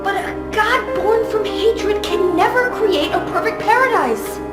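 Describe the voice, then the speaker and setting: A woman's voice: very high voice, measured pace. An actress playing a challenging, defiant young woman in a video game. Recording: echoey, under loud music. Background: loud ambient music.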